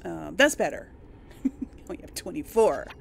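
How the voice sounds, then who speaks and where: A woman giving casual, expressive gameplay commentary, reacting aloud and talking to the game's characters. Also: fairly low pitch, unhurried, gravelly, slight background noise. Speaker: gamer narrating a role-play let's play. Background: game music behind the voice.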